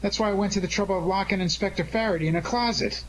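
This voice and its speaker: A man's voice: sits high, racing, a little hiss or hum, close to an everyday voice, poor audio. A man playing a cocky, wisecracking amateur sleuth in a 1940s-style radio detective drama, breezy and tough-talking. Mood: brisk, wry.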